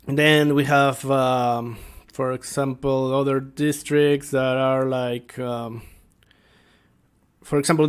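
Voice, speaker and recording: Man, mid-pitched voice, game master, casual unscripted explainer, halting, thinking aloud.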